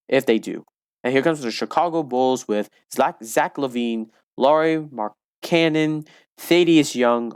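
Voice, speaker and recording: Loud young man rambling through game commentary. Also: mid-range voice, smooth, no room sound, clean studio sound. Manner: rambling with frequent restarts and fillers. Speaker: gaming commentator.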